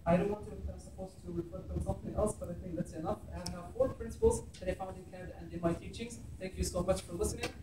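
A man's voice: sits high, lively intonation, quick pace, few pauses, background noise. A man in his thirties from Egypt with an Egyptian Arabic accent, giving a public talk in a classroom and explaining scripture in English. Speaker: speaker. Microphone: far camera. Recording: echoey room, from far off.

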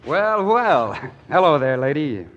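A breezy, friendly young GI with an American accent. His voice sits a bit high and swings widely in pitch, with the cocky snap of forties radio drama. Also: big pitch swings, slow, muffled recording. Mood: teasing. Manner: breezy, wisecracking. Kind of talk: 1940s radio acting.

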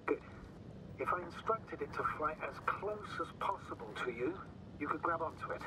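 An actor playing a formal, calculating male mission commander in a video game, speaking deliberately and precisely through a radio filter. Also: a little high, animated, noisy background.

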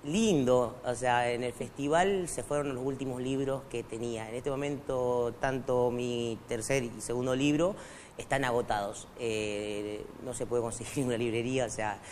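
A man's voice: mid-range voice, fairly even intonation, moderate pace, darkish tone, slight background noise. Hesitant man with a Quechua first language. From Argentina, raised in Bolivia. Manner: hesitant, many fillers and restarts. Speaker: guest writer. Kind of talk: casual interview talk. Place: TV studio. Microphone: lapel mic.

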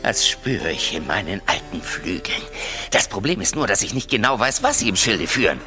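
A man's voice: lowish voice, very animated, moderate pace, over music. A man playing a cantankerous, blunt old raven spy in a children's fantasy audio drama, theatrical and grumbling. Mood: confiding, grave. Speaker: voice actor.

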